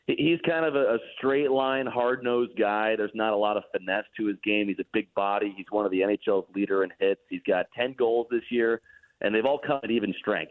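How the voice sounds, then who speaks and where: Low-voiced man on a tinny phone line. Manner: relaxed, explanatory, self-deprecating. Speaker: hockey play-by-play broadcaster, guest. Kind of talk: casual radio interview analysis.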